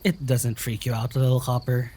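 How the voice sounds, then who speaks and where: A woman's voice: rumbling low, flat delivery, normal speed, mellow, a little hiss or hum. A woman acting in an audio drama as a wry, defensive traveler with a dry, teasing manner.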